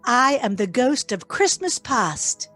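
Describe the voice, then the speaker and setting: A woman playing an ageless spirit, gentle yet commanding, with stately, solemn delivery in a radio play. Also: fairly high pitch, very animated, slow pace, faint music behind, heavily acted. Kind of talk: theatrical radio play acting.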